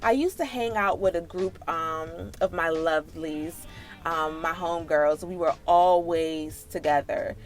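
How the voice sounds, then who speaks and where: A woman in her late twenties with an American accent tells a personal story casually, in an animated, sassy, slangy way. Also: sits low, unhurried, soft background music. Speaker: storytime vlogger.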